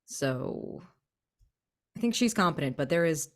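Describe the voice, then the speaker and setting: Low-voiced woman playing a tabletop game. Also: lively intonation, a little slow. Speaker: tabletop roleplaying player. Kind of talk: casual in-character roleplay and table talk.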